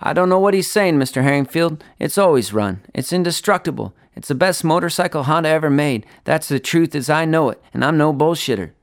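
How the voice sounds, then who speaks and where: Brash young hustler in an audiobook story, played by a male narrator: impatient, pushy, emphatic, pitched up. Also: lively intonation, fairly quick, hardly any pauses, dry recording, clean studio sound. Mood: insistent. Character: brash, impatient, foul-mouthed young man, a fast-talking fibber.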